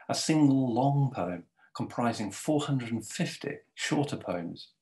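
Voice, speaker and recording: A man giving a formal, measured scripted introduction in the manner of an academic presenting a speaker. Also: on the low side.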